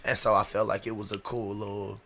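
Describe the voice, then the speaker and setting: Hoarse st. guy, gravelly, chill and easygoing, lots of people chattering around him. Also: lowish voice, little pitch movement, a little fast, over a noisy crowd. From St. Louis, USA. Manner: laid-back, often says "you know what I'm saying". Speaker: rapper guest. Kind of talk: casual interview chat.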